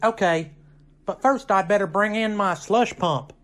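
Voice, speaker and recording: A man's voice: high voice, measured pace, fairly bright tone. An actor playing an easygoing but stubborn male college student in an old-time radio comedy. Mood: matter-of-fact.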